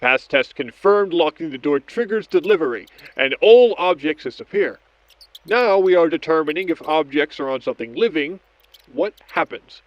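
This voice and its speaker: A man playing a methodical scientist in an audio drama, narrating each step of an experiment log in a measured, clinical way. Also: on the high side, lively intonation, no room sound, natural voice. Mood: clinical, curious. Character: methodical male scientist doctor logging experiments on tape. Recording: dull lo-fi audio with background noise.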